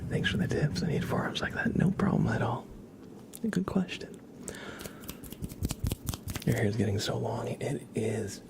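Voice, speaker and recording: A man hosting an ASMR livestream, speaking in a hushed, breathy whisper as he chats with viewers. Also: mid-range voice, lively intonation, a little slow, long pauses. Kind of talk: ASMR, live chat with viewers.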